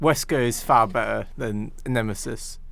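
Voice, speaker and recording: A man's voice: lowish voice, steady tone, moderate pace, creaky, fairly bright tone, no room sound. A man co-hosting a podcast, chatting casually about films in an opinionated, argumentative way. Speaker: podcast co-host.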